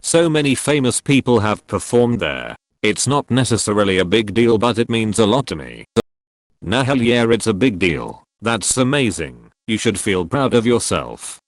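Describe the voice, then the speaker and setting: Low-voiced man reading forum posts. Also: big pitch swings, moderate pace, no room sound. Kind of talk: scripted narration.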